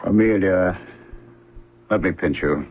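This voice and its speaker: An actor playing an ardent, needy suitor in a 1970s radio drama, husky and fervent. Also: male voice, low-pitched, takes it slowly, slight background noise. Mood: eager, yearning. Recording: thin, phone-like old radio recording.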